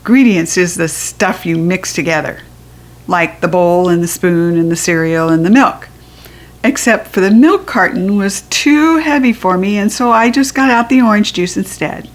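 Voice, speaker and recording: A woman's voice: lowish voice, normal speed. A woman with an American accent narrating a children's story expressively, with a playful, childlike delivery. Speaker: storybook reader.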